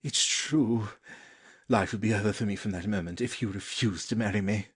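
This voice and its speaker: A man playing a lovesick, earnest young gentleman suitor in an audio stage play, polite, hesitant and pleading. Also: fairly low pitch, normal speed, breathy edge. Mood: ardent, pleading.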